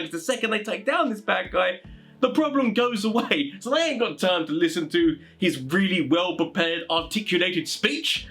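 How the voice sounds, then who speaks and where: A man hosting a casual explainer for tabletop game masters, talking in an animated, rambling, informal way. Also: high-pitched. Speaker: video host giving tabletop game master advice.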